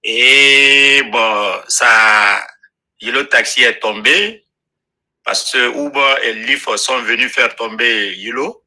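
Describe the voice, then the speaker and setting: A man's voice: medium pitch, slow pace, sharp tone, poor audio. A man who drives for rideshare apps, calling in and hesitantly searching for his words. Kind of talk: casual call.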